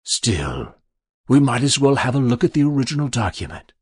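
A man voicing a smooth, sinister devil posing as a genteel businessman in a told story, with easy, unctuous, precise speech. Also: mid-range voice, very animated, moderate pace, no room sound, studio quality, pitched above the performer's own voice. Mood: coolly businesslike. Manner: easy, unctuous, mock-pious, precise diction. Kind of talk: dramatic literary storytelling.

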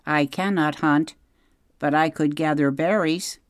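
A reader voicing a polite, literal-minded female robot in a storybook, measured, earnest and formal. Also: mid-range voice, fairly even intonation, dry recording, clean studio sound, voice pushed up, close to an everyday voice. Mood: calm, polite.

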